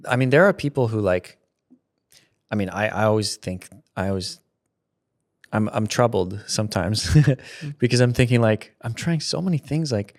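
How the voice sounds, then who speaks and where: A man in his thirties talking casually as an interview guest, rambling and restarting his sentences, often laughing. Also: medium pitch. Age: 30s. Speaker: content creator guest. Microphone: studio mic with headphones.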